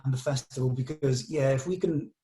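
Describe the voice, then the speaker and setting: Mellow-voiced man explaining plans. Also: mid-range voice, brisk. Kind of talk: informal production meeting discussion.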